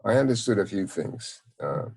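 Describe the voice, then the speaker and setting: Old man in his 90s, hungarian accent, deep voice, telling memories slowly and haltingly. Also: big pitch swings, gravelly, darkish tone, husky. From Czechoslovakia. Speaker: Holocaust survivor, interviewee. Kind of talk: recounting memories in an interview. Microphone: video call.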